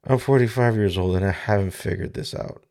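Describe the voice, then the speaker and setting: A man in his forties from the Dominican Republic, hosting a reflective solo podcast. He talks in a halting, searching way, with many pauses and restarts, into a studio microphone. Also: fairly low pitch, creaky, a little mellow. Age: 40s. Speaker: podcast host. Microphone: studio microphone with mixer.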